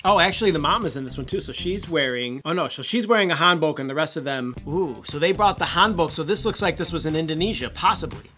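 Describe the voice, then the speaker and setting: Bright, higher-pitched man chatting. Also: expressive, fast talker, few pauses. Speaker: co-host of a commentary show. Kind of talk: casual photo commentary chat.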